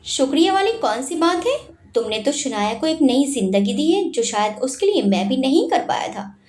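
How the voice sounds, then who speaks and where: A woman playing a famous male film star who acts like a protective older brother in a spoken storybook. He speaks fondly and familiarly, and his voice is high and animated. The recording has a roomy echo. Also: normal speed.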